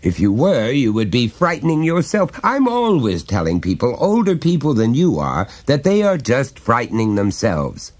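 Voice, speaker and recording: A man's voice: medium pitch, huge pitch swings, normal speed, hardly any pauses, darkish tone, pitched above the performer's own voice. A man reading an audiobook, voicing a pompous middle-aged father who lectures his son in a condescending way. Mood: lecturing. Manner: condescending, explaining at length.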